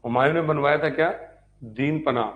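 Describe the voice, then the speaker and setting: A man's voice: a little high, phone-quality sound. Male history teacher dictating a lesson. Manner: repeats phrases slowly for note-taking; asks and answers his own questions. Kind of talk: live exam-prep lecture with dictation.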